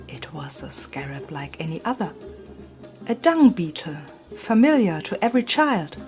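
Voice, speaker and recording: A woman reading a literary story as an audiobook narrator, in a breathy, hushed, dramatic storytelling manner. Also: low voice.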